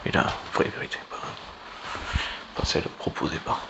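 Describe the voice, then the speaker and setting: A man giving a rambling, informal political monologue in a halting, sardonic way, with slang and swearing. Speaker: online political commentator. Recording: clearly noisy background.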